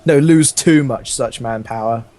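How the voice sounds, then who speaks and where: A man's voice: mid-range voice, a little slow, a little mellow, natural voice. A man voicing a troubled adolescent alien warrior in a fan fiction reading, speaking in clumsy, stilted broken English. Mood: overwrought.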